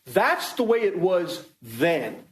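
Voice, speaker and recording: A male prosecutor with a high voice gives a closing argument in a roomy, echoing courtroom. He speaks slowly and emphatically, hammering points home through repetition. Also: sing-song. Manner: emphatic, deliberate, repetitive rhetoric. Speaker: prosecutor addressing a jury. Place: indoor courtroom. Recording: echoey courtroom recording.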